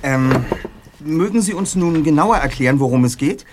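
A man's voice: on the high side, animated, moderate pace, noisy background, natural voice. A man playing a probing teenage boy detective. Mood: inquisitive. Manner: polite, probing questions.

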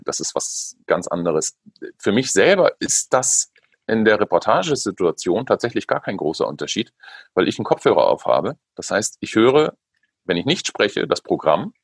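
A man's voice: lowish voice, husky, no echo, clean studio sound. A middle-aged man, a radio football commentator, explaining thoughtfully with many fillers in a podcast interview.

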